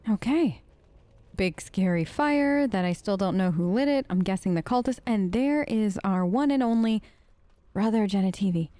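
A woman hosting a casual role-play game video, expressive and chatty as she talks to the characters. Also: medium pitch, moderate pace, dry recording. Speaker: gaming video host. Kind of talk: in-character playthrough commentary, casual.

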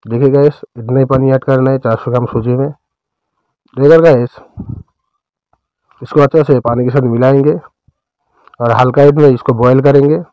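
Man, Indian accent, cooking host, step-by-step narration, smooth clear voice, dry close sound. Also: mid-range voice, fairly even intonation, normal speed, pauses often, dry recording, muffled recording.

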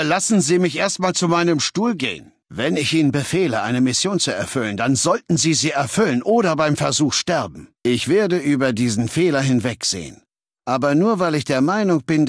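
An actor playing a cold, imperious warlord dictator in a video game, speaking in a commanding, haughty, lecturing manner. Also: male voice, medium pitch, slightly rough, dry recording, clean studio sound. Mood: pained, irritated, then commanding, disdainful. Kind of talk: video game character dialogue.

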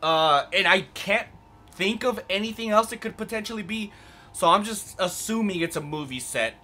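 A young man with a hoarse, raspy voice chatting casually through a let's play, rambling with lots of fillers. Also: high-pitched, normal speed, sharp tone, faint music behind. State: hoarse from yelling. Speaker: gaming channel host.

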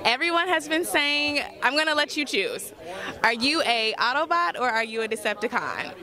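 A woman's voice: sits high, very animated, normal speed, sharp tone. A young adult woman working as an entertainment reporter, casually interviewing outdoors on a handheld microphone. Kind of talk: casual red carpet interviewing. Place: outdoors at a premiere press line. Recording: busy crowd chatter behind.

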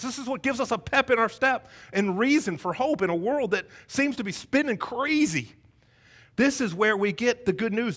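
A man in his thirties with a Southern American accent, preaching an energetic, joking Easter sermon on a church stage. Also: sits high. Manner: energetic, joking, paces the stage with wide gestures. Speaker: pastor. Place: church stage before a congregation.

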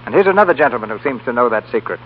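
A man's voice: medium pitch, lively intonation, racing, slightly rough. A man hosting and narrating a 1930s radio drama from a script. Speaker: host and narrator. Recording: muffled 1930s radio broadcast. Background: music.

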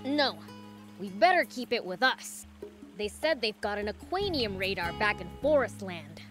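A woman's voice: mid-range voice, big pitch swings, moderate pace, a bit bright, soft background music. A woman voicing a brash, impatient young male demon prince in a video game, blunt and cocky. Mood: blunt, grumpy.

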